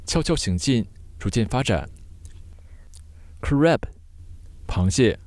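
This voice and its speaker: A man teaching vocabulary word by word in measured, short separated phrases, as a scripted lesson. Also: mid-range voice, animated, a little mellow, no echo.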